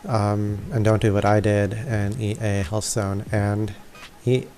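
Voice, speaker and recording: Slow, low-voiced man giving game commentary. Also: little pitch movement, dark tone. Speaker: gamer narrating a walkthrough. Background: faint game music.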